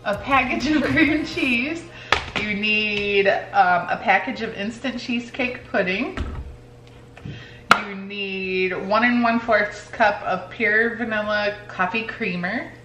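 A woman's voice: medium pitch, slow pace. Female home-cooking host, forties, chatty and informal, mid-range voice over a music bed. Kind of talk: casual chat with recipe instructions. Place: home kitchen.

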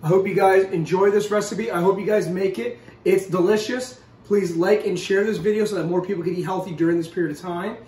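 A man's voice: sits high, steady tone, a little fast, hardly any pauses, a little mellow. A man in his forties giving a casual, upbeat cooking demonstration to camera in a home kitchen, addressing viewers as guys. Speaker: cooking show host. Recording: roomy, distant mic, faint kitchen noise.

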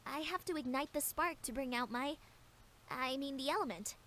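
A woman's voice: sits high, normal speed, heavy background noise, close to an everyday voice. A woman voicing an earnest, anxious young female unicorn pony in a fan animation, talking in an urgent, pleading way.

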